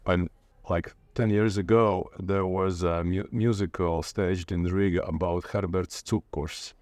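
A man with a Latvian accent, a native Latvian speaker, talking reflectively in an interview and haltingly searching for words. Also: sits low, steady tone, darkish tone, no echo. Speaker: interviewee, literature teacher and playwright.

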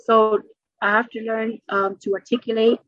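A middle-aged woman with a New York accent, a stand-up comedian chatting in an interview and telling stories quickly and animatedly. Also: fairly high pitch, no room sound, dull audio. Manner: fast, animated storytelling with laughs. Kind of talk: casual interview chat.

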